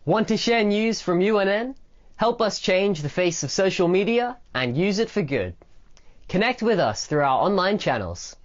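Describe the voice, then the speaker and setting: A woman's voice: mid-range voice, lively intonation, normal speed, highs cut off. A woman reading a scripted promo in an upbeat manner. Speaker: promo announcer.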